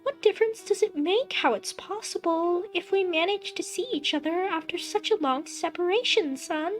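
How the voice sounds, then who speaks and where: A woman's voice: very high-pitched, moderate pace, faint music behind, voice pushed up. A woman voicing a doting middle-aged mother returned from the dead, sweet and chirpy in a motherly way. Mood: sweetly cheerful.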